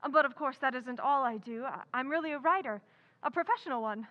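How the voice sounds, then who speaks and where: A woman's voice: high voice, fast, highs cut off, natural voice. A woman playing an impulsive, earnest young tomboy writer in an old-time radio drama, with an emphatic delivery. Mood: flustered, eager.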